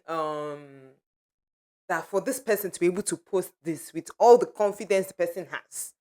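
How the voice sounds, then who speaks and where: A woman co-hosting a casual podcast chat, easygoing and quick to laugh. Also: medium pitch. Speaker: podcast co-host. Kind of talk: casual chat among friends.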